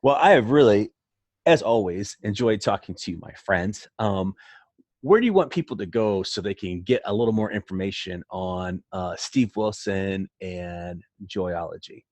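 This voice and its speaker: A man's voice: on the low side, expressive, normal speed, darkish tone, dry recording. A man hosting a podcast, chatting casually in an interview. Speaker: podcast host.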